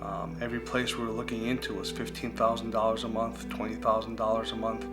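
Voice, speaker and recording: A man's voice: on the low side, little pitch movement, quick pace. Earnest man telling his story. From United States. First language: Greek. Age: 40s. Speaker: speaker sharing his recovery testimony. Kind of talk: personal testimony. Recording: guitar music playing underneath.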